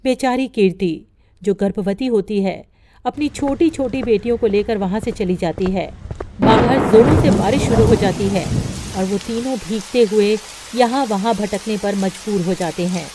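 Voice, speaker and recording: A woman narrating a cartoon storybook in a steady, plain way. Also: mid-range voice, normal speed, slight background noise.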